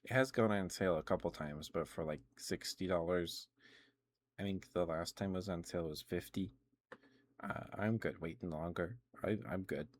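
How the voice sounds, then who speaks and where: A man with a notably low-pitched voice hosts a casual ASMR livestream. He talks in a loose, meandering way, often circling back to correct himself. The delivery is informal gamer chat, unscripted and conversational. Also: moderate pace, dry recording, clean studio sound. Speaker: livestream host. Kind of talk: casual rambling ASMR stream chat about video games.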